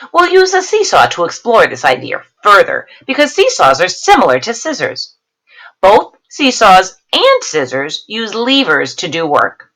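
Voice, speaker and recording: A woman teacher reading a science lesson aloud, adding explanatory asides and stressing key terms in a deliberate, instructive way. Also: medium pitch, fairly bright tone, poor audio.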